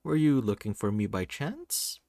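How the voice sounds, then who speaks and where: A man voicing a calm, welcoming male doctor in a visual novel reading. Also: fairly low pitch, measured pace, soft background music. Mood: friendly, relaxed. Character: friendly, composed male hospital doctor.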